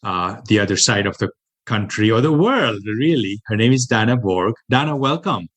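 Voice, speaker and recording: A man with an American accent hosting a podcast interview. He sounds upbeat and halting and restarts his questions often. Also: on the low side, lively intonation, a bit bright. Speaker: podcast host.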